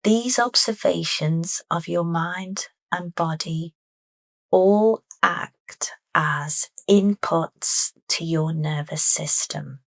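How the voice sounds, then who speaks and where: A woman leading a guided meditation with soft, unhurried, deliberate phrasing. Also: on the low side, vocal fry, no room sound.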